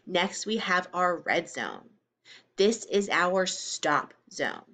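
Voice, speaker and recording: A woman giving a read-aloud lesson for young children, explaining and questioning in simple, expressive phrases. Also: lowish voice, slow pace. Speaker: teacher reading aloud to children.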